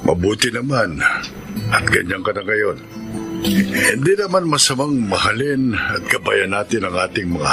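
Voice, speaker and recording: A man's voice: lowish voice, vocal fry, full character voice. An actor playing a stern older brother in a radio drama, admonishing his family earnestly. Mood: calm, advising.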